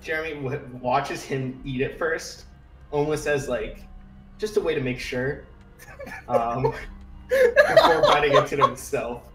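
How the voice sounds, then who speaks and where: A man's voice: mid-range voice, wildly swooping pitch, takes it slowly, long pauses. A man improvising as a player in a tabletop roleplaying game, hesitant and repeating his words. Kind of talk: improvised in-character chat and narration. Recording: echoey room, music behind.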